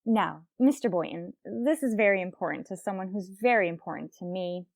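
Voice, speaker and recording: Wry, deadpan radio comedy schoolteacher played by an actress. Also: female voice, mid-range voice, brisk, gravelly, no room sound, clean studio sound, lightly acted. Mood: urgent. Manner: deadpan, sarcastic asides. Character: wry, lovelorn female schoolteacher with dry wit. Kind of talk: old-time radio situation comedy acting.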